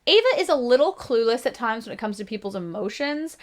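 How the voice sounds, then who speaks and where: A Canadian woman in her thirties with a slightly gravelly voice, hosting a casual book review channel, chatty and self-deprecating. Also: fairly high pitch, very animated, brisk. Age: 30s. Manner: chatty and self-deprecating, with frequent laughs and restarts.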